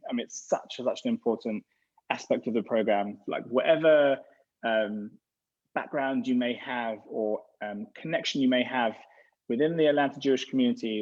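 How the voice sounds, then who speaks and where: Rabbi, mid-pitched man, rambly casual answers on a lo-fi video call. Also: darkish tone. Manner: rambling, restarts often. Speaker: rabbi representing a gap year program. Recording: dull lo-fi sound.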